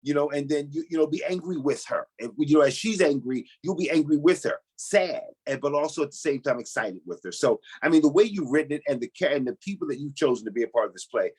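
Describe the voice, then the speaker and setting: A man's voice: a little high, animated, fast talker, few pauses, a little mellow, husky, poor audio. A man giving expansive, emphatic answers as an interview guest in a conversational style. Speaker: stage actor, interview guest.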